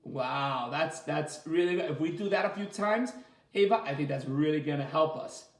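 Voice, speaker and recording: A man's voice: on the high side, moderate pace. A man leading an interactive story time for children as a coach, chatty and encouraging, explaining things simply with plenty of repetition. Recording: echoey room.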